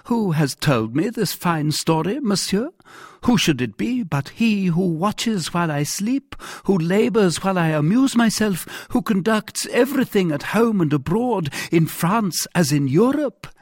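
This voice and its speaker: Man putting on a petulant, high-pitched, complaining king. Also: lively intonation, a little slow, hardly any pauses, dry recording, clean studio sound. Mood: agitated, accusing. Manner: querulous, theatrical, emphatic outbursts. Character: petulant, self-pitying, hot-tempered French king. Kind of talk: dramatised audiobook character voice.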